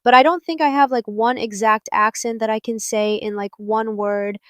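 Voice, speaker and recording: Young woman, Japanese native speaker, mixed American-British accent, casual podcast host, higher pitch, smooth voice. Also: fairly even intonation, normal speed, dry recording, clean studio sound. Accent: mixed American and British English. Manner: chatty, many "like" fillers. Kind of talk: casual solo podcast talk.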